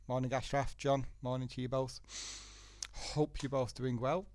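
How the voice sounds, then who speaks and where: A man's voice: medium pitch, flat delivery, a little slow, a little mellow, no room sound. Man with a head cold. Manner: chatty, explains step by step. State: head cold, blocked nose, sore throat. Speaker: forex trading educator hosting a webinar. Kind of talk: live webinar walking through trade charts. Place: working from a laptop in a home setting. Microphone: laptop.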